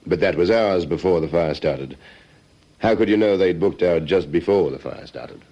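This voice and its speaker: An actor playing a dogged, suspicious police sergeant in an old radio detective drama, measured and politely insistent. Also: male voice, low voice, dark tone. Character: dogged, calmly suspicious male police sergeant questioning a suspect. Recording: slightly dull vintage radio recording.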